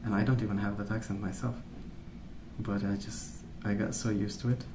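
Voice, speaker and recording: A man speaking softly in a hushed, unhurried ASMR ramble, musing as he goes. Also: fairly low pitch, level tone, roomy sound, noisy background. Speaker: ASMR video host. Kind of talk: soft-spoken ASMR rambling while colouring.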